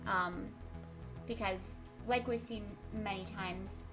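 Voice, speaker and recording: A woman's voice: mid-range voice, fairly even intonation, takes it slowly, music in the background, low-quality recording. A young woman reading a visual novel aloud and chatting about it at home. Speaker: let's player. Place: home room. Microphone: webcam and desk mic.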